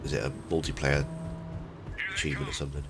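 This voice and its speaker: A man's voice: rumbling low, level tone, fast. A man chatting casually while playing a video game. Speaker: game streamer. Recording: music behind the voice. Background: music.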